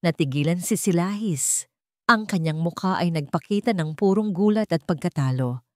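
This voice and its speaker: A woman's voice: on the low side, normal speed, no echo, clean studio sound. A woman narrating a scripted dramatic story.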